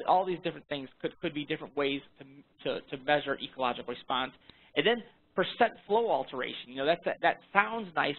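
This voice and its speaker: A man giving an informal technical presentation on a conference call, halting, often repeating and rephrasing his words. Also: fairly high pitch, gravelly, narrow, tinny audio. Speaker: research presenter. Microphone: phone conference call. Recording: thin, band-limited phone conference line.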